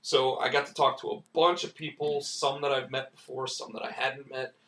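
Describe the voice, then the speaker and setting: A man hosting a casual live stream, going hoarse and rambling with lots of fillers and restarts. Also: medium pitch, level tone, normal speed, fairly bright tone, reverberant, far from the mic. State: losing his voice, hoarse. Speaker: comic book writer and stream host.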